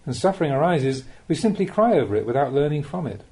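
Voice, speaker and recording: Male narrator for spiritual audiobook: soft mellow tone, animated pitch movement, measured teaching delivery. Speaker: narrator reading Buddhist teachings. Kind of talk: audiobook reading of a spiritual talk.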